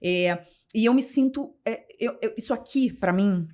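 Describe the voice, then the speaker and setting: A woman in her forties with a Carioca accent from Rio de Janeiro, talking as an interview guest. She talks in a chatty, expressive way, restarts her phrases often and keeps adding tag questions. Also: fairly high pitch, a little slow. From Rio de Janeiro, Brazil. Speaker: guest, journalist and communication mentor. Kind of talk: casual interview conversation.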